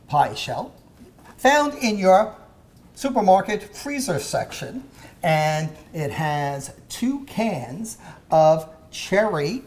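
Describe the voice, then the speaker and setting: A man hosting a kids' cooking show in a home kitchen, talking in a halting way with many "uh" fillers. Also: fairly high pitch, darkish tone. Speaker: host.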